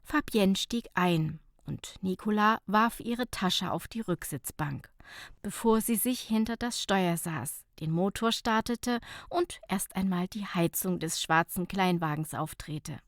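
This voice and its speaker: A woman's voice: mid-range voice, measured pace, dry recording, studio quality. A woman narrating a light, humorous short story as an audiobook reader, in a scripted reading style.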